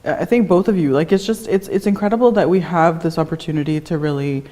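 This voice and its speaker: A woman's voice: deep voice, fast talker, dark tone. A woman hosting a talk show, asking guests questions in a loose, rambling way with frequent fillers. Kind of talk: casual interview hosting.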